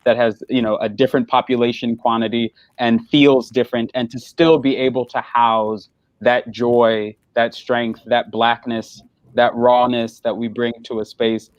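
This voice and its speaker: A man's voice: mid-range voice, flat delivery, measured pace, dry recording. A man speaking as an actor on a panel, earnest and thoughtful, building his ideas gradually. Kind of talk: reflective panel conversation. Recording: dull, slightly lo-fi online audio.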